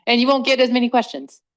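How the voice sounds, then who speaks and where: A woman's voice: fairly high pitch, flat delivery, a little fast. A woman, a board member, making casual, joking remarks in a meeting. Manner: joking, informal.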